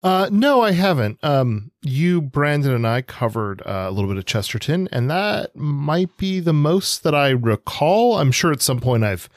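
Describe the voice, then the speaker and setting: A man co-hosting a literary podcast, speaking conversationally with somewhat halting phrasing. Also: lowish voice, no echo, clean studio sound. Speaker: podcast co-host.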